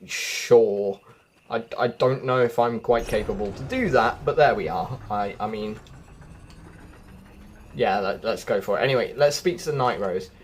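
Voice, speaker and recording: A man's voice: lowish voice, unhurried, slight background noise. A man hosting a casual gameplay walkthrough, thinking aloud and talking straight to his viewers. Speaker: gaming video host.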